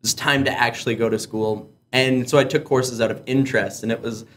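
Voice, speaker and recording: A man's voice: mid-range voice, steady tone, brisk, a little hiss or hum. A man hosting an interview podcast, asking questions and sharing his own stories in a casual, conversational way. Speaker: podcast host.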